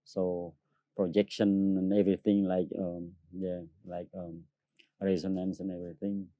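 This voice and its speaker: A Cambodian man who speaks Khmer as his first language and sings opera tenor, answering an interview in halting English with many fillers and frequent laughs. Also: sits low, flat delivery, warm tone, no echo, poor audio.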